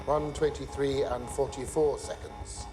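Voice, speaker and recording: A man acting as a grave, bitter nuclear scientist testifying at a trial in a screen drama, measured and emphatic as he explains. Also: medium pitch, steady tone, music in the background, lightly acted, poor audio. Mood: grave, urgent.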